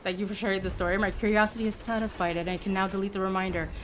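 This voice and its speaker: A woman in her forties livestreams and chats with her viewers in a casual, expressive way. She teases and jokes as she goes. Her pitch sits in an ordinary middle range for a woman. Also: racing, slight background noise. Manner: chatty and expressive, teasing and playful.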